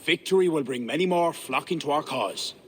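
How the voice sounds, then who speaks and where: An actor playing an ambitious medieval high king in a game cutscene, speaking in a grave, commanding, regal manner. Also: a little fast, fairly bright tone, a little hiss or hum, natural voice. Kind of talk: video game cutscene acting.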